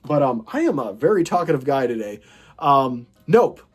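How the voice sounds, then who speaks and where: A man's voice: mid-range voice, very animated, moderate pace, breathy edge, soft background music. A man with a stuffy, nasal voice from a cold, hosting a casual movie collection chat, rambling and enthusiastic with lots of fillers and restarts. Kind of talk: casual chat showing a film haul.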